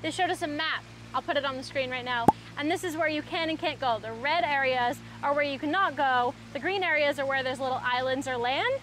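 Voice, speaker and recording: A woman with a high voice hosts a casual travel vlog in an upbeat, playful way. She chats to viewers out in the open, with faint ambient noise behind her. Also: brisk, hardly any pauses. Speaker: vlog host. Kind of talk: casual, chatty travel vlogging. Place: outdoors, mostly on a boat on a lake.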